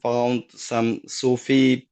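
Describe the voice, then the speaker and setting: Slow, gravelly man. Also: mid-range voice, flat delivery, darkish tone. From Afghanistan. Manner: halting, searching for words, laughs often. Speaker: guest. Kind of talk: casual personal story.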